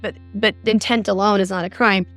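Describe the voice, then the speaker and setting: A woman's voice: mid-range voice, steady tone, fairly quick, fairly bright tone, faint music behind. Middle-aged woman recounting her experience. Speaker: interview guest, mother recounting a scam. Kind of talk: recounting a personal experience in an interview.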